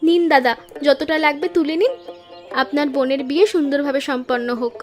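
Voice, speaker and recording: A woman's voice: high-pitched, a little fast, soft background music, close to an everyday voice. A woman voicing earnest, hopeful young flower-selling sisters in a moral-story cartoon, speaking politely.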